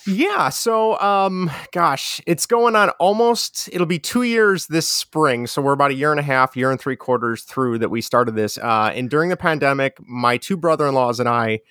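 A man with a strong Chicago accent, talking as a guest in a relaxed, rambling way with many restarts. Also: fairly high pitch, moderate pace, hardly any pauses, no echo, clean studio sound. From Chicago area. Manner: mellow, rambling with frequent restarts. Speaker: guest, educator and podcaster. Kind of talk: casual conversational interview.

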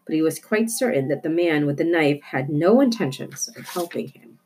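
A woman with a slightly low voice narrates a children's book. Her delivery is expressive storytelling, read from the page. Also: normal speed, slightly rough. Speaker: storybook reader.

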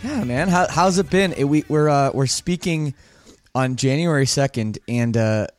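A man's voice: medium pitch, moderate pace, a little mellow, no room sound, faint music behind. A man hosting a casual podcast, upbeat and rambling, often restarting his sentences. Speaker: podcast host.

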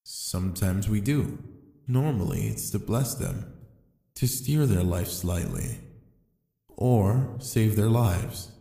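A man playing a calm, sly, seductive fallen angel in an intimate ASMR roleplay, speaking slowly and deliberately to the listener. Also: lowish voice, gravelly. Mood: seductive, coaxing. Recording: echoey, long reverb.

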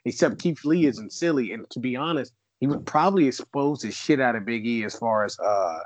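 A man's voice: mid-range voice, lively intonation, brisk, no echo. A man co-hosting a podcast in casual chat, blunt and opinionated, swearing freely and mocking bits he dislikes. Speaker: podcast co-host.

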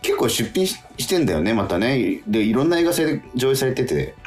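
A man's voice: medium pitch, big pitch swings, a little fast, a little mellow, soft background music. A man hosting a radio talk show in a studio, chatting casually with many fillers and easy nods.

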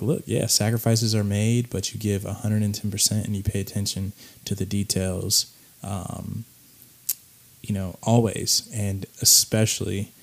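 A man hosting a podcast, talking casually about clips in a raspy voice, rambling with plenty of fillers. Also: fairly low pitch. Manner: laid-back, rambling with many fillers. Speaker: podcast host.

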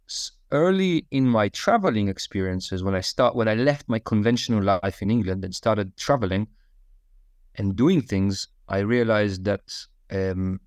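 A man talks in a relaxed, conversational way, telling stories with plenty of fillers and restarts. His voice sits on the low side. The sound is close and dry, with no room echo. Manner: relaxed storytelling with many fillers. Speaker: podcast guest. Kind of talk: conversational interview answers.

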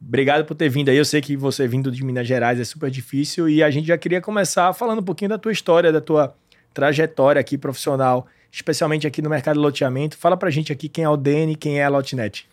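A man's voice: fairly high pitch, fairly even intonation, fast. A man from northeastern Brazil hosting a podcast in a casual, informal conversational way. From northeastern Brazil, Pernambuco. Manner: informal, interjects short confirmations. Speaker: podcast host. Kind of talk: casual interview chat.

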